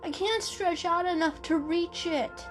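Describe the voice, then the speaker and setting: A woman's voice: very high-pitched, a little slow, over music, pitched above the performer's own voice. A woman voicing a small, timid, earnest boy kitten with a hesitant, wide-eyed way of talking in a children's story. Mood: discouraged. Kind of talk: dramatic children's story reading.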